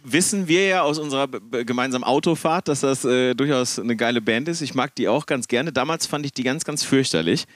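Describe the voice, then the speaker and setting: A man's voice: mid-range voice, brisk, few pauses, no echo. A man from the Ruhr area with a Ruhr German accent, telling long, rambling concert stories in a casual podcast chat and correcting himself as he goes. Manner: rambling, self-correcting, animated anecdotes. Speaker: podcast participant.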